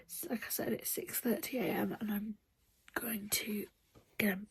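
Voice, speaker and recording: A woman vlogging at home early in the morning, talking softly and half-whispering in a croaky just-woken voice, rambling with fillers. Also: mid-range voice, fairly even intonation, moderate pace. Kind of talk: casual morning vlog chat.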